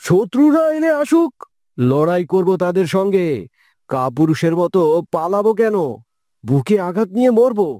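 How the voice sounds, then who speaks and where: A man's voice: high voice, big pitch swings, moderate pace, mellow, dry recording, clean studio sound. A man voicing a proud, fearless young prince in a fairytale cartoon, boastful and defiant. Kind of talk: heroic fairytale cartoon acting.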